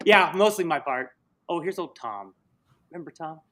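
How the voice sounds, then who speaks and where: A man's voice: on the high side, animated, moderate pace, fairly bright tone. A man hosting a casual livestream, rambling and joking, often slipping into silly voices. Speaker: livestream host.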